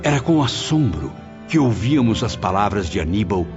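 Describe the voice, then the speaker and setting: A man's voice: lowish voice, big pitch swings, normal speed. A man playing a reflective spirit who recounts his memories in an audio drama, solemn and literary. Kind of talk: first-person narration in an audio drama. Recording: dull muffled audio under clear music.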